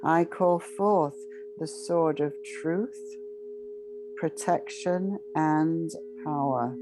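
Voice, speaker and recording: A woman leading a guided meditation in a solemn, ceremonial way, speaking in short, measured phrases with long pauses. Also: low-pitched, background noise.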